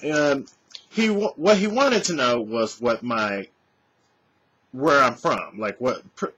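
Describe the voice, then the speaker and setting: Animated man telling a story to his webcam. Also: mid-range voice, slow, slightly rough, muffled recording. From California. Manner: animated, gestures a lot, swears freely. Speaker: vlogger. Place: small room at home, indoors. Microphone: webcam at desk distance.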